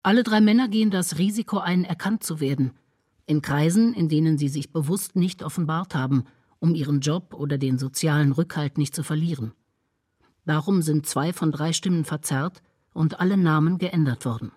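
Male documentary narrator, breathy, slightly high, dry studio sound. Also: moderate pace, darkish tone, no echo.

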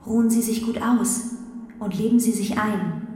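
A woman playing a formal, aloof artificial intelligence in a radio play, speaking in measured announcements. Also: medium pitch, warm tone, lightly acted. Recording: very echoey reverberant hall, faint noise.

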